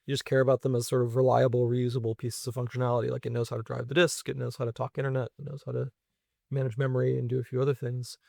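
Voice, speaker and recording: A man's voice: mid-range voice, flat delivery, rapid-fire, vocal fry, darkish tone, no room sound, clean studio sound. A man talking casually through technical ideas as an interview guest, reasoning aloud with hedges and tag questions. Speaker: guest, venture investor and software engineer.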